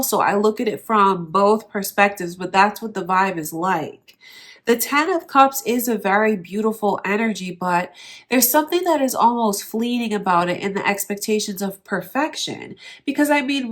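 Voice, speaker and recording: Nasal-sounding woman reading tarot. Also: medium pitch. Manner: speaks directly to the viewer, earnest and musing. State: nasal from allergies. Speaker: tarot reader. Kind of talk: tarot card reading for viewers.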